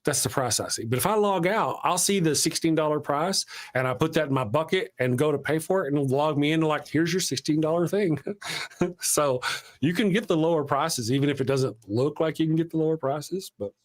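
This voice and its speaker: A man's voice: on the high side, a little fast. A man hosting a live stream, chatting casually and rambling in a self-deprecating way. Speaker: host of a live show.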